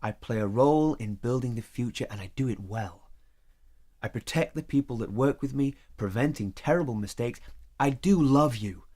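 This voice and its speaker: Guy acting evasive workaholic boyfriend in an audio play, measured and emphatic, natural delivery. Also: mid-range voice, lively intonation, darkish tone, natural voice. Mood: earnest, tender. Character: career-driven male lover, evasive and defensive.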